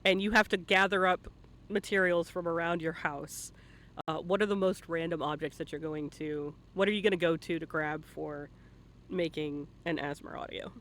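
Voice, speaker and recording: Man, high voice, playful, casual interviewer, laughs readily. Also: steady tone, moderate pace, a bit bright. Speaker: interviewer, stream host. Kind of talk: casual live interview chat.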